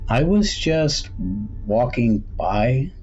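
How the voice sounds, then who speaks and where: A man's voice: mid-range voice, very animated, very slow, a little mellow, soft background music, voice pushed up. Man playing a hesitant, curious schoolboy, slightly muffled. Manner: hesitant, casual, questioning. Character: curious, hesitant schoolboy exploring a strange shop. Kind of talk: storybook character voice in suspense tale. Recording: dull recording with faint background noise.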